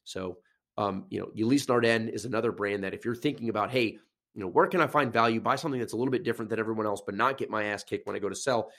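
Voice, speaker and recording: A man's voice: fairly low pitch, fast talker, vocal fry, fairly bright tone. Nasal man rambling on a podcast. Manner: rambling, with many fillers and restarts. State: getting over a sinus infection. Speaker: podcast host. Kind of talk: casual solo podcast talk.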